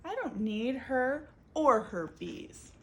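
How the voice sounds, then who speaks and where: A woman's voice: high voice, very animated, slow pace, slight background noise, pitched above the performer's own voice, exaggerated voice. Woman doing a sputtering grumpy orchard man. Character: grumpy male orchard keeper, easily hurt.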